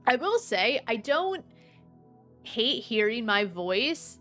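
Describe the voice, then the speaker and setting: 30s woman streamer, dry kinda monotone voice but bright and crisp, faint game music under. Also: a little high, takes it slowly. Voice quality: dry-sounding, can sound monotone. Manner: rambling, chatty, with fillers. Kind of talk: casual gameplay commentary.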